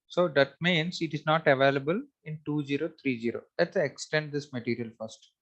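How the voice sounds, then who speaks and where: A man giving a step-by-step screen-share software tutorial, thinking aloud in a halting, repetitive, instructional way. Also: fairly high pitch, lo-fi sound. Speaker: software trainer.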